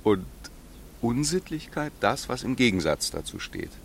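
A man's voice: medium pitch, sing-song, a little slow. A cultivated court physician in a radio drama. He is earnest and reflective, with a mid-range voice that moves expressively up and down. Slight ambient noise sits behind him. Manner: reflective, reading aphorisms with care. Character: cultivated, earnest male court doctor, cautious lover.

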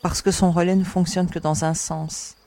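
A woman's voice: lowish voice, little pitch movement, moderate pace, gravelly, dark tone. A woman playing a composed young alien agent who leads castaways and explains things with assurance in an audio drama. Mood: assured, explanatory.

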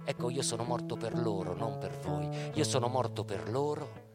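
Radio theatre role: murdered young man speaking from death male actor, bitterly ironic, emphatic, mid-pitched, over music. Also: expressive, normal speed, natural voice. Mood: bitter, brooding.

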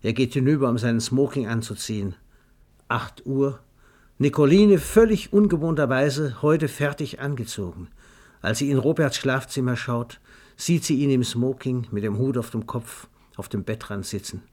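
A man's voice: medium pitch, animated, normal speed, a little mellow, close to an everyday voice. A man as a precise, wry literary narrator. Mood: measured, wry. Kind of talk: scripted literary radio drama narration.

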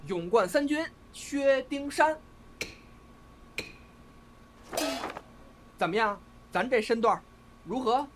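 Man playing a lively, cheeky young crosstalk comedian. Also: extremely high pitch, a little slow. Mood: playful teasing. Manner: theatrical, teasing, playful. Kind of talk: crosstalk comedy dialogue in audio drama. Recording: loud background noise.

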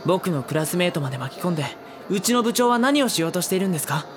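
A woman playing an earnest, easily flustered high school boy in an audio drama. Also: expressive, very fast, natural voice. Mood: firm, accusing. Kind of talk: Japanese audio drama acting.

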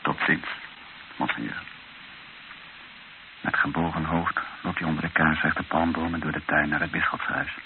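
A man's voice: very deep voice, little pitch movement, normal speed, vocal fry, pauses often. Ernstige man met diepe, krakende stem. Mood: respectful. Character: earnest, troubled Dutchman, narrator and confidant. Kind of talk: radio play acting with reflective narration. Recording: muffled, hissy old radio recording.

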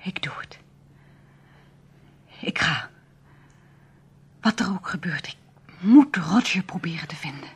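A breathy, husky woman, anxious and intense. She hesitates and repeats herself, yet sounds determined, in the manner of radio drama. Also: on the low side, animated, heavily acted. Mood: resolved. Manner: hesitant, halting, intense delivery. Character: anxious, determined actress searching for her missing brother. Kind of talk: Dutch radio play acting.

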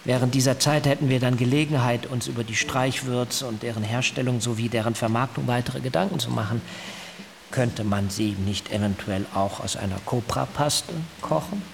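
A man's voice: medium pitch, fairly even intonation, vocal fry, lightly acted. Man acting a pompous zealot in reverberant hiss. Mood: earnest, lofty. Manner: pompous, measured, formal speech. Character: zealous German nudist cult founder and coconut planter, self-righteous. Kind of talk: German radio drama acting. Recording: hiss, long reverberant room.

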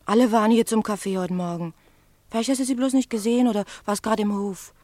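Radio drama role: actress as a steadfast, down-to-earth, protective woman with an average-pitched voice. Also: fast talker, darkish tone, no echo, lightly acted. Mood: reassuring. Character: loyal, sensible woman defending the bar owner. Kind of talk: German radio drama acting.

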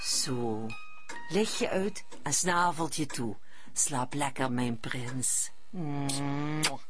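A woman playing a doting, motherly wife who babies her husband in a satirical radio play, with cooing, drawn-out speech. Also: a bass voice, over music, full character voice. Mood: cooing, soothing.

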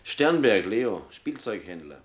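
A man playing a determined, suspicious police lieutenant in a German radio crime drama, measured but insistent. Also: on the low side, very animated, husky, faint music behind, exaggerated voice.

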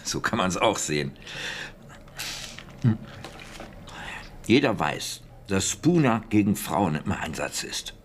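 An actor playing a talkative, defensive wartime British intelligence officer in a German radio drama, speaking conversationally and emphatically. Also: male voice, low voice, very animated, slow, pauses often, slight background noise, heavily acted. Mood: animated, confiding. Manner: conversational, emphatic, with hesitations and scoffing.

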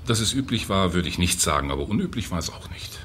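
An actor playing a cynical, callous youth prison director in a German radio drama, condescending and bureaucratic. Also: male voice, low voice, very animated, quick pace, a bit bright, a little hiss or hum. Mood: cold, defensive.

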